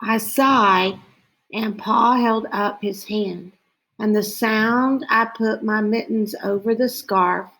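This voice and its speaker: A woman reading a children's story aloud in a deliberate way, with frequent pauses between short phrases. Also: medium pitch, steady tone, a bit bright, rough recording. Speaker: storybook reader.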